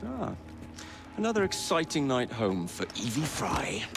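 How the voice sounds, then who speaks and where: An actor playing a cocky, sardonic young Victorian adventurer in a video game, teasing and flippant. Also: male voice, mid-range voice, huge pitch swings, measured pace, gravelly, music in the background, full character voice. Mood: mocking. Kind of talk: video game cutscene acting.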